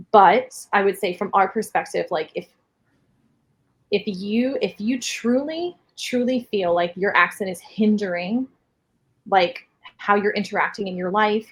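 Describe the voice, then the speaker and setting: A husky, slightly breathy woman with a New York accent explains things patiently and steadily, filling her talk with "like." She speaks as a speech therapist guest in an interview, at a middling pitch. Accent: New York area. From New York City. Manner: explanatory, steady, many fillers of "like". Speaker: speech-language pathologist guest. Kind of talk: interview answers.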